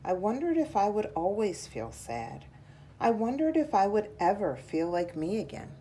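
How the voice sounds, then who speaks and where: A woman reading a children's picture book aloud in a measured, deliberate storytelling style. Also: medium pitch, very animated, mellow, a little hiss or hum, rough recording.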